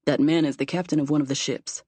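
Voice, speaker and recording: Woman as Remy, a hushed, guarded young man. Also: deep voice, fairly even intonation, fast, husky, dry recording. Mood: reverent. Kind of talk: audiobook character voice.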